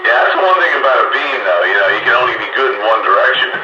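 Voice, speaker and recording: Through loud radio noise comes a man of medium pitch, slightly muffled and roomy. He chats casually as an operator hosting an on-air get-together. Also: animated, rapid-fire. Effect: two-way radio transmission.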